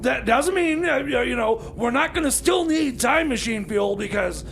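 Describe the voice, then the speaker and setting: Man playing a very high, creaky, stammering mechanic. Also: lively intonation, fairly bright tone, a little hiss or hum, exaggerated voice. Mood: insistent, evasive. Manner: stammering, repetitive, halting. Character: excitable, overconfident, flustered male time machine mechanic. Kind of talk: comedy radio theater, improvised.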